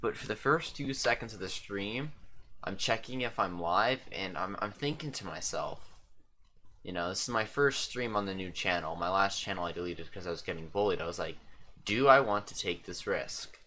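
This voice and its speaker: Young guy streamer, really low voice but bright edge, rambly and chuckly, faint hum behind. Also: moderate pace. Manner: rambling, self-deprecating, chuckling often. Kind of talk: casual commentary over an old stream.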